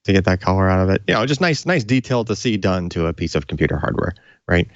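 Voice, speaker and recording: A man co-hosting a tech podcast, chatting casually and haltingly, repeating words and leaning on filler phrases. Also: deep voice, animated, dry recording, lo-fi sound. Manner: halting, repeats words, peppered with "you know". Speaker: podcast co-host.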